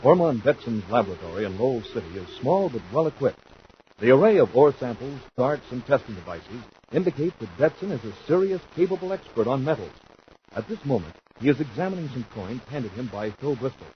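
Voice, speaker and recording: A man in a 1950s radio drama speaking in a measured, matter-of-fact way as narrator and crew officer. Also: medium pitch, very animated, natural voice. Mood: narrating. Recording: dull old radio recording with hiss.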